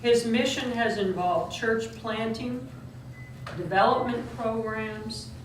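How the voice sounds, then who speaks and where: A woman's voice: fairly high pitch, slow. Woman introducing a speaker in echoey hall. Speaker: event host introducing the guest. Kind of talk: formal introduction. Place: lecture room. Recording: very echoey room, distant mic, noisy.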